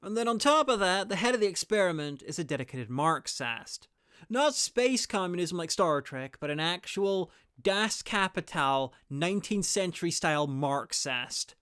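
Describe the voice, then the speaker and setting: A presenter giving a scripted review narration in a smooth, clear voice. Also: mid-range voice, animated, normal speed, fairly bright tone.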